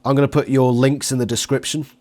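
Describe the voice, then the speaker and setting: A British man chatting casually as an interviewer, jokey and quick to swear. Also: mid-range voice, little pitch movement, a little mellow. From Britain.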